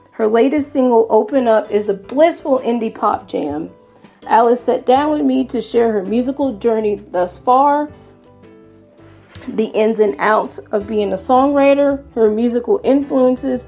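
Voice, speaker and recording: Woman with a creaky, higher-pitched voice. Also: mellow. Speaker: podcast host interviewing musicians. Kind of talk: casual interview.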